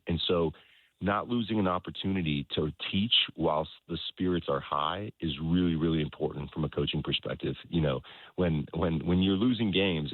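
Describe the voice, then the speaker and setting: A man speaking as a soccer coach in an interview, explaining things in a halting way, repeating words and often checking in with the listener. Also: low-pitched. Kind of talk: conversational sports interview, explaining his coaching philosophy. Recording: roomy echo, dull lo-fi sound.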